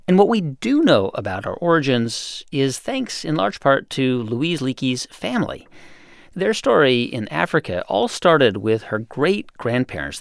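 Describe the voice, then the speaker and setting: Lively, gravelly-voiced male podcast host. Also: fairly high pitch, no echo, clean studio sound. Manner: lively, explanatory storytelling. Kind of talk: scripted narration and interview.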